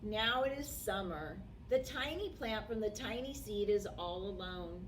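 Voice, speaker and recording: A woman reading a picture book aloud to young children as a storytime host, with deliberate, expressive phrasing and dramatic pauses. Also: medium pitch. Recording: distant microphone with faint background noise.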